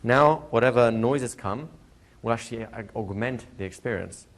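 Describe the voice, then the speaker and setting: A man teaching a hypnotherapy class to a live group, explaining plainly and slipping into slow, soothing hypnotic patter for demonstrations. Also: mid-range voice. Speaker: hypnosis trainer. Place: training room with a live audience.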